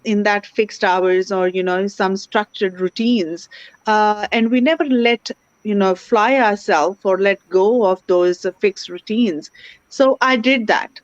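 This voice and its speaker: A woman's voice: mid-range voice, no room sound. Woman, thirties, earnest guest, dry muffled video-call sound. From India. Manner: earnest, grateful, many fillers like "you know". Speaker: interview guest. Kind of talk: casual online interview. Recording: dry, dull lo-fi call audio.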